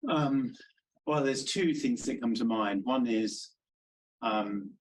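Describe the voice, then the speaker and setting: A man's voice: medium pitch, slow, slight background noise, poor audio. A man speaking as a panel guest, giving personal advice in a reflective, conversational way.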